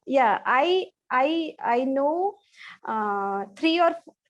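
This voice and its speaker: A woman with a high voice talks over a video call. She is a Bangla speaker practicing her English, and she halts and restarts often as she hunts for words. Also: expressive, extremely slow, lo-fi sound. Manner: hesitant, many restarts and fillers. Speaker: English learner. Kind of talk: online English practice conversation.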